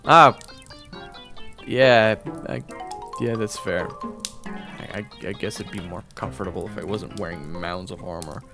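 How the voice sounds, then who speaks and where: A man performing a first-person audio roleplay, narrating and talking to the listener, often stammering and flustered, sometimes whispering and sometimes yelling. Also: sits low, measured pace, pauses often. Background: video game soundtrack music.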